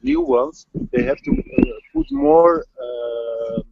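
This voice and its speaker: A man from the Netherlands with a Dutch accent, answering interview questions in a halting way, often repeating words while searching for them. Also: very high voice, wildly swooping pitch, vocal fry, dry recording. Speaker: local resident. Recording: dull lo-fi audio.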